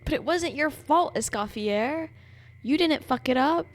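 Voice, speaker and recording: A woman's voice: sits high, animated, a little slow. A woman livestreamer giving casual, dramatic, exclamatory and sweary reactions to a game. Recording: game music behind voice. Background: game music.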